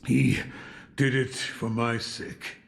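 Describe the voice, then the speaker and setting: An actor playing a weary, gruff old hermit warrior in a game cutscene, gravelly, terse and brooding. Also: male voice, medium pitch, flat delivery, takes it slowly. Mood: wry, weary. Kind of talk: video game cutscene acting. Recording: long hall reverb.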